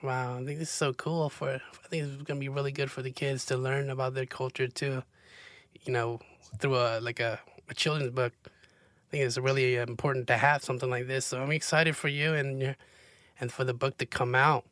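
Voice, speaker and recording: A man's voice: medium pitch, flat delivery, fairly quick, a bit bright, dry recording. A man hosting an interview, asking friendly, enthusiastic questions with frequent uhs. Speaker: interviewer, host. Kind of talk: casual interview.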